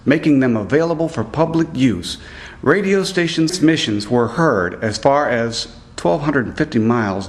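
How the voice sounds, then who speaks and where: A man narrating a scripted report as a student reporter, reading aloud in a deliberate way. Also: mid-range voice.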